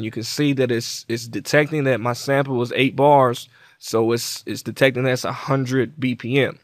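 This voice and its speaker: A man with a mid-pitched voice walks through software in a casual tutorial style. He talks informally and explains each step as he goes. The voice-over is close and dry, with very little room sound. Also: no echo. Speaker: tutorial presenter.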